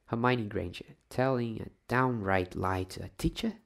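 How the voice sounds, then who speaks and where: A man voicing a stern older woman professor in a storybook reading, scolding in a measured way. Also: fairly low pitch, creaky. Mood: stern, disappointed.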